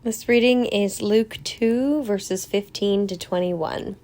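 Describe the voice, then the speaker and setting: A woman's voice: medium pitch, slow pace, warm tone. A woman giving a formal scripture reading as a reader at a church service.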